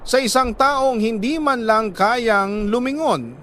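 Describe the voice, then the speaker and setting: A Filipino man reading a listener's letter as a radio host, in solemn, sentimental dramatic narration with deliberate pauses. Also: very high-pitched, sharp tone, a little hiss or hum. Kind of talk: dramatic scripted narration of a confessional love letter.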